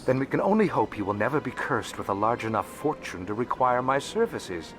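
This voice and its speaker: A man's voice: mid-range voice, lively intonation, fairly quick, close to an everyday voice. A man playing a shrewd, courteous alien financier in a video game, speaking formally and discreetly over music. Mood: smooth, justifying. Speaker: financial advisor and information dealer character. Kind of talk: video game dialogue acting. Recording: music behind the voice.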